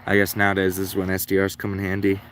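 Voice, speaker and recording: A man casually thinking out loud as he hosts a hobby livestream, in a low-key, rambling, unhurried way. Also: completely flat delivery, a little hiss or hum. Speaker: livestream host, radio hobbyist. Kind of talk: casual livestream commentary, musing aloud.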